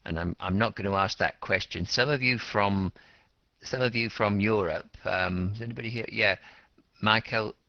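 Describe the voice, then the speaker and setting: A man's voice: fairly low pitch, moderate pace, dry recording. A man teaching an English class, questioning students and explaining words simply with repetition and rephrasing. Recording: dull, lo-fi classroom recording.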